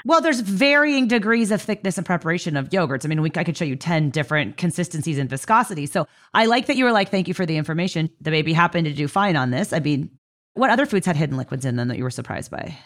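A woman interviewing in an informal, conversational way, animated and encouraging. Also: on the low side, fast. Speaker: podcast interviewer.

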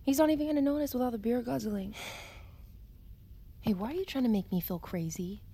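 An actress playing a defiant, suspicious young ex-soldier daughter, sarcastic and blunt, in a naturalistic audio drama. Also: female voice, a little high, fairly quick, warm tone, husky. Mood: hushed, insistent. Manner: sarcastic, foul-mouthed, blunt.

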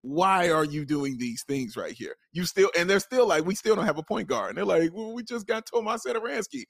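Male co-host, fairly high voice, playful and emphatic sports banter, close dry sound. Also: expressive, fairly quick, gravelly, a bit bright, no room sound. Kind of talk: casual banter.

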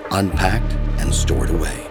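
An actor narrating a horror audio drama in a measured, grave and ominous manner. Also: male voice, low-pitched, vocal fry. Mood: formal announcing. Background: music.

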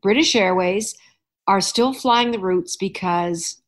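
A middle-aged woman from Canada hosting a relaxed podcast chat. She spins anecdotes with animated asides and easy laughter, at a medium pitch. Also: slow pace. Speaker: podcast host. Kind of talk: casual chat.

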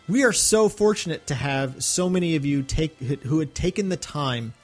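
A man co-hosting a podcast in casual chat, earnest and chatty with many fillers. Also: on the high side. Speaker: podcast co-host.

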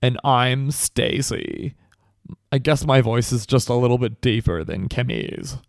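A smug, prim cupcake shop owner who insists she is the tougher one, as a man plays her in his own voice. The sound is smooth and resonant, close and dry. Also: unhurried, no echo. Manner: smug, prim, lower put-on voice.

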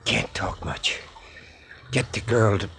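An actor playing a dying wounded soldier in a 1940s radio drama, whispering his words out in halting, breathless pieces. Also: fairly low pitch, sing-song, creaky, a bit bright, a little hiss or hum, exaggerated voice. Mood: gasping urgency.

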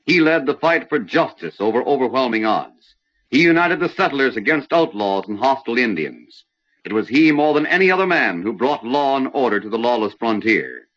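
A man's voice: mid-range voice, animated, moderate pace, fairly bright tone. A man playing a stirring, declamatory announcer who narrates a heroic western in old-time radio style. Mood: stirring, grand. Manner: dramatic, declamatory. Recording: muffled vintage radio recording.

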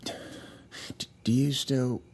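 A man playing a tipsy, wounded husband in a naturalistic audio drama, halting and muttering as he pleads. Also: medium pitch, fairly even intonation, creaky, a little mellow, slight background noise. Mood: tipsy, wistful. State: slightly drunk. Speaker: actor in scripted audio drama.

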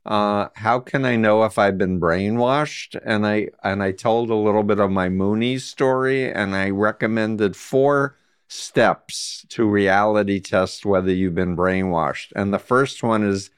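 A man's voice: lowish voice, a little slow, smooth. A man hosting an interview, listening closely, then explaining advice from his own talk. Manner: attentive, explanatory, drifts to advice. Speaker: podcast host. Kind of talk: interview conversation.

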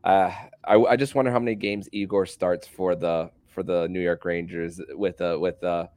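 A man hosting a sports podcast with casual commentary, speaking haltingly and often stumbling over repeated words. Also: sits low, fairly even intonation, a little mellow.

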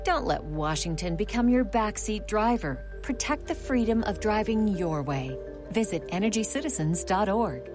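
A woman's voice: mid-range voice, lively intonation, moderate pace, over music. A woman announcer reading a persuasive scripted ad. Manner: persuasive, punchy. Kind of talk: scripted ad read.

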